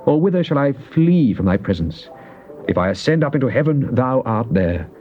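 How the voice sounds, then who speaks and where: An actor playing a fervent psalmist, solemn and theatrical in a dramatized Bible reading. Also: male voice, medium pitch, very animated, normal speed, soft background music, lightly acted. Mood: awed. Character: fervent psalmist addressing God in prayer and lament. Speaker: scripture reader. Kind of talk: dramatized Bible reading, British stage delivery. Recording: muffled, noisy old cassette tape.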